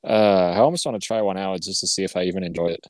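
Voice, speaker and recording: A man with a deep voice banters casually on a podcast. He sounds playful and informal, in a dry, close recording. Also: very fast, a little mellow, no echo. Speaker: podcast co-host.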